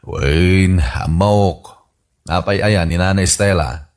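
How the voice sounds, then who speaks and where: Deep-voiced grumbling son. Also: male voice, slow. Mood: matter-of-fact. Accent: Ilocano. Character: grumbling grown son looking after his old father. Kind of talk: radio comedy drama acting.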